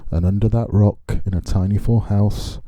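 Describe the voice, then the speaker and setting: A man narrating a children's picture book expressively, in a measured, dramatic way with deliberate pauses. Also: on the low side, steady tone, dark tone, no echo. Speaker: storyteller reading a picture book.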